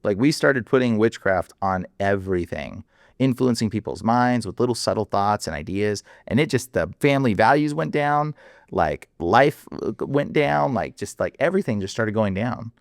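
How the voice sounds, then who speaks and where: A man speaking as a guest in a casual interview, animated and emphatic, full of fillers. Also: on the low side, moderate pace, gravelly, no room sound, clean studio sound.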